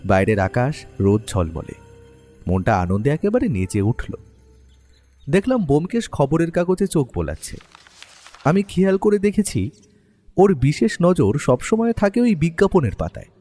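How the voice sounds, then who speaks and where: Man playing an animated, mellow writer-narrator. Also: a little high, normal speed, long reverb tail, soft background music. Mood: calm, storytelling. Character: expressive male writer, the detective's companion and narrator. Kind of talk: scripted first-person story narration and drama acting.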